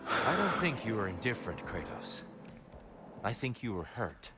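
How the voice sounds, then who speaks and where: An actor playing a calm, philosophical god mentor in a video game, measured and gently instructive. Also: male voice, fairly low pitch. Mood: calm, probing. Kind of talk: dramatic video game acting. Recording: loud music underneath.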